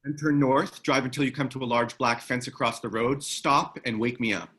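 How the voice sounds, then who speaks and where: An actor at a table read playing a gruff, weary ex-military man who talks tersely and gives orders. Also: mid-range voice, steady tone, moderate pace, fairly bright tone. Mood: terse, commanding. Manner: terse, commanding. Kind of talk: screenplay table read acting.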